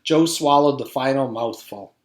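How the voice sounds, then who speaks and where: A man's voice: mid-range voice, normal speed. A man reading a children's storybook aloud in the manner of a teacher with a class. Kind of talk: storybook read-aloud narration. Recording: echoey room.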